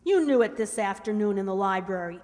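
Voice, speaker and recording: A woman's voice: mid-range voice, animated, brisk, darkish tone, lightly acted. A woman playing a brassy, scheming waitress mistress in a radio mystery drama, with a slangy, emphatic, dramatic delivery. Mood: bitter, determined. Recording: reverberant hall stage recording.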